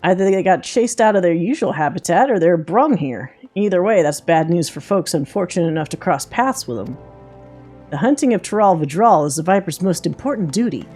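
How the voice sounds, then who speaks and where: A woman's voice: fairly low pitch, animated, brisk, a little mellow, husky. A woman voicing a folksy, confident hunter mentor from a fantasy game, with plain rustic phrasing, over music. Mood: businesslike. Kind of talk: reading game dialogue in character.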